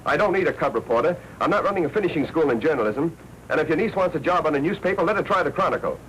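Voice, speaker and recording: Brusque American newspaper boss, wry patter, animated pitch, noisy vintage film soundtrack. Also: male voice. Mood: firm, curt. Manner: brusque, wry, fast-talking newsroom patter. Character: brisk, hard-nosed male newspaper managing editor. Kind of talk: 1940s film noir acting.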